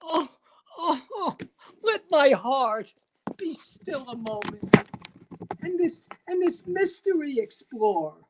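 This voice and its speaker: A woman playing a haunted, anxious narrator of a gothic poem, halting and theatrically emphatic in a staged reading. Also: high voice, expressive, heavy background noise. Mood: anxious, fearful.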